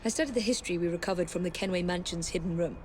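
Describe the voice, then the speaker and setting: A woman's voice: on the low side, very fast, heavy background noise, lightly acted. A woman voicing a studious, purposeful young adventurer in a game. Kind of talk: game cutscene dialogue.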